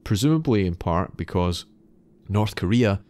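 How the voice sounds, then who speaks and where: A man's voice: on the low side, animated, moderate pace, darkish tone. A man hosting a podcast, narrating in an explanatory, slightly wry way that moves between scripted and casual. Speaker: podcast host.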